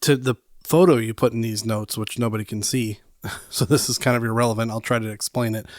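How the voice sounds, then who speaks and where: A man's voice: medium pitch, fairly even intonation, brisk, no room sound, clean studio sound. A man co-hosting a casual podcast, easygoing and joking, a little stuffy from a cold, with lots of fillers. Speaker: podcast co-host. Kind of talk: casual chat.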